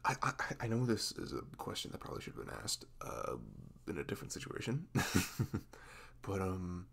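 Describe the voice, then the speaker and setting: A man acts out a sweet, nervous boyfriend in an intimate ASMR roleplay. His voice is very low and gravelly, swinging widely in pitch as he stammers and apologizes tenderly. A little noise sits behind him. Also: very animated, full character voice. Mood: shy, eager. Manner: stammering, halting, apologetic, breaking into soft nervous murmurs.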